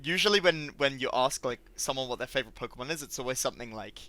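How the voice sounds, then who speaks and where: A man interviewer with a crisp, bright voice. He reads out questions and chats in a casual, informal way. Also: mid-range voice, lively intonation, quick pace, dry recording. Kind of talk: casual interview chat reading out viewer questions.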